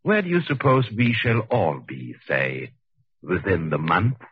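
A suave, murderous husband in a vintage radio thriller. His husky voice moves expressively through mocking, deliberate phrases. The old recording is muffled and close, with faint music. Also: deep voice, wildly swooping pitch, no echo, exaggerated voice. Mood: sardonic, menacing. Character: coldly mocking murderous husband, narrating his own trial. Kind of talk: 1940s radio acting.

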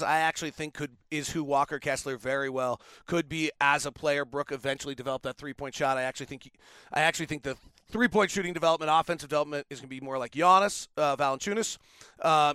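A man's voice: a little high, sharp tone, smooth, no room sound. A man hosting a casual sports talk interview, giving opinions and rambling into stories. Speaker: podcast host.

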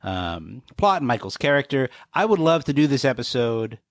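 A man's voice: mid-range voice, sing-song, moderate pace, no echo, clean studio sound. A man co-hosting a podcast in casual, conversational chat. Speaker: podcast co-host.

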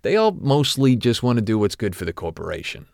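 An actor playing an older, guilt-ridden retired corporate executive who confides haltingly, as in an audio drama interview. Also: male voice, mid-range voice, very animated, creaky, darkish tone, no room sound, clean studio sound. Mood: weary, resigned. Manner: hesitant, confessional, halting.

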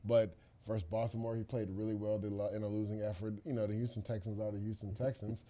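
A man's voice: lowish voice, level tone, fast talker, dark tone. A man co-hosting a football podcast, giving emphatic, opinionated analysis in a casual, conversational way.

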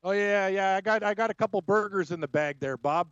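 A man's voice: high-pitched, a little fast, fairly bright tone, smooth, dry recording, highs cut off. A man co-hosting a sports talk show, giving strong opinions in casual chat. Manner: emphatic, opinionated.

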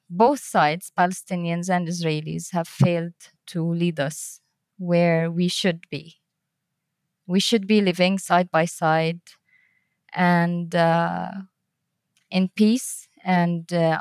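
A woman giving a reflective personal interview as a guest, speaking in a thoughtful, halting way. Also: fairly low pitch, no echo, clean studio sound. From Middle East and North Africa. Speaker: interview guest, environmental peace-building director.

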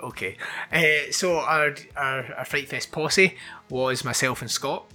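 Very bright-voiced teasing podcast host. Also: male voice, medium pitch, soft background music. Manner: teasing, quick asides, laughing. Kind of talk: casual chat.

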